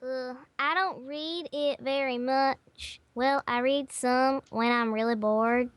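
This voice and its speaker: Slow, high, girlish woman's voice, noisy recording. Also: fairly even intonation, dry recording. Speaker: podcast participant, fanfiction writer. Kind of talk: casual group chat.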